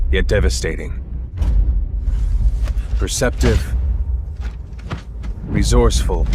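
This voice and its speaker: An actor playing an earnest militia rifleman, narrating war in a solemn, measured, reverent way for a game cinematic. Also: low-pitched. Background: music.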